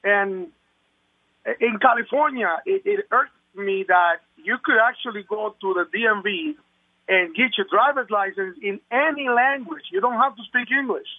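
A man's voice: very high voice, lively intonation, moderate pace, husky, dry recording. Older man on a phone line. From Puerto Rico. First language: Spanish. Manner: earnest, repeats words when pressing a point. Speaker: caller. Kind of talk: talk radio call. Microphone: telephone.

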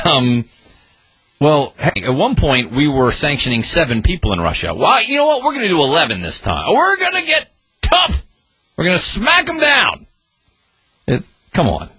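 A man hosting political talk radio, sarcastic and animated, his pitch leaping around as he mocks. His voice is bright and a little husky, recorded dry and slightly dull. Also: moderate pace, no echo. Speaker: guest radio talk host.

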